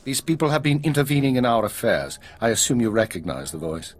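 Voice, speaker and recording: An actor playing a stern, commanding spymaster in a video game, with a clipped, authoritative manner. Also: male voice, mid-range voice, big pitch swings, fairly quick, slightly rough. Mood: grave, commanding.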